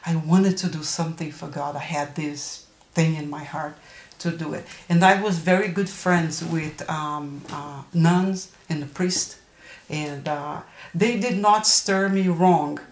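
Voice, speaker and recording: Low-voiced older Brazilian woman, echoey room. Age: early 60s. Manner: halting, searching for words. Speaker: interview guest. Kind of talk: personal testimony in interview. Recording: roomy, echoing interview recording.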